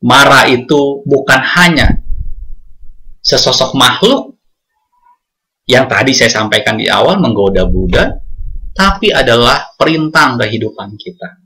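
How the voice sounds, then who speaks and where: A man's voice: mid-range voice, lively intonation, pushed and bright, poor audio. A man with an Indonesian accent preaching a Buddhist sermon, often addressing the congregation as he speaks. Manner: addresses congregation often, sings hymn lines slowly.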